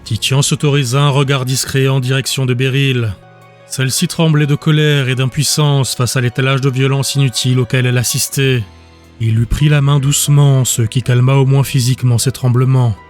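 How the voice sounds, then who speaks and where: Male narrator, scripted audiobook reading, measured, even delivery, soft background music. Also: medium pitch. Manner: steady, measured storytelling.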